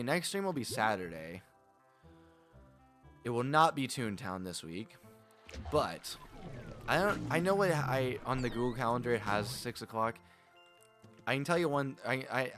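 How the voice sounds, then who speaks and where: A man's voice: mid-range voice, expressive, normal speed, pauses often, a bit bright, faint music behind. A man casually chatting with his viewers while playing a game on a livestream, rambling and laughing as he goes. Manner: chatty, rambling, frequent restarts and laughs, talks to viewers by name. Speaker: game streamer.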